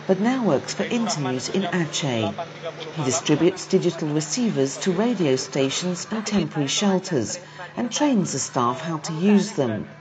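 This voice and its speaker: A woman's voice: low-pitched, sing-song, moderate pace, crowd noise. A woman reading scripted documentary narration in a news report style. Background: background music.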